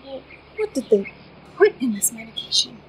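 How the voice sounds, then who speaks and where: A woman's voice: on the high side, sing-song, moderate pace, slight background noise. A woman playing a young American tourist in a radio play, earnest, wry and self-deprecating, with an American accent. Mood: bewildered. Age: young adult.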